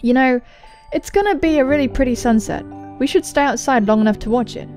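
A young woman, a gaming host, reading visual novel text aloud and commenting on it in a jokey, animated way with casual swearing. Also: sits high, fairly quick, soft background music. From England. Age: young adult. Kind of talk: let's play commentary and dramatic reading of on-screen dialogue. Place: indoor room. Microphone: large microphone close to the mouth.

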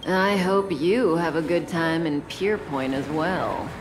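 A woman playing an unhurried, mature big-sister companion in a video game, languid, teasing and gently chiding. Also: sits low, over music, low-quality recording. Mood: relaxed, teasing. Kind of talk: scripted game dialogue.

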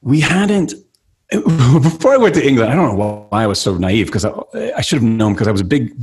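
A man in his fifties from New York, a guest actor telling stories in a casual podcast chat over a video call, lively and full of restarts. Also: mid-range voice, fairly quick, breathy edge. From New York City. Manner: animated storyteller, frequent restarts and gestures. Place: home room. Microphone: studio mic with headphones, video call.

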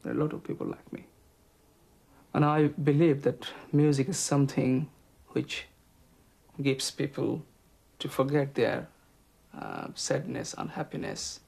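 A middle-aged man from Bangladesh with a Bangladeshi accent, answering interview questions in a measured, hesitant way. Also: medium pitch, vocal fry, a little mellow, breathy edge. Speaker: health promotion officer interviewee.

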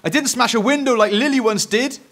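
A British man voicing a cheeky, guilt-ridden young boy in a children's story, excitable and pleading. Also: high voice, brisk, voice pushed up. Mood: sheepish, pleading.

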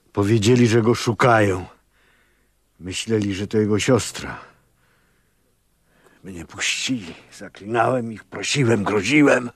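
An actor playing a grim, distressed man bringing bad news in a radio drama, with a husky voice. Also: low voice, no echo, studio quality, full character voice.

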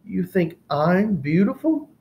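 Male narrator putting on a high, dry-witted girl cockroach, storybook. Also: very animated, slow pace, a little mellow, a little hiss or hum, heavily acted. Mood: excited, anxious. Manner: dry, icy politeness. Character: proud young female cockroach, sharp-tongued.

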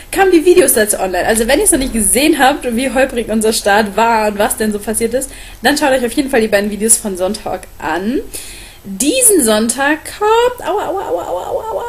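A young adult woman chatting casually and informally to camera as a vlogger, recorded at home on a webcam. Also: fairly high pitch. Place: small room at home, on a sofa.

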